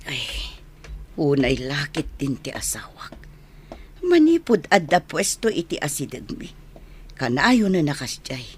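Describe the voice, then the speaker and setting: A husky, nagging Filipino wife. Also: female voice, low-pitched, big pitch swings, a little slow, full character voice. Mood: nagging concern. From Philippines. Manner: scolding, concerned. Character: caring, nagging wife of a workaholic. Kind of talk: Philippine radio drama acting.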